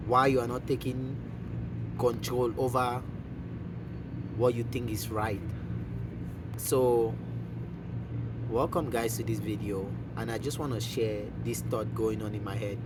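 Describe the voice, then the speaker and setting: A man's voice: medium pitch, fairly even intonation, measured pace, long pauses, background noise. A young man from Cameroon musing in a casual monologue, asking questions aloud and often saying "like" and "bro.". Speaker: vlogger. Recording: distant mic with loud music behind.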